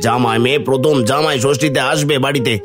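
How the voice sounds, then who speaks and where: A man's voice: medium pitch, fairly even intonation, fast, over music, lightly acted. A man voicing a humble, anxious, impoverished middle-aged father-in-law in a cartoon, earnest and pleading. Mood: worried resolve. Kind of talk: Bengali cartoon voice acting.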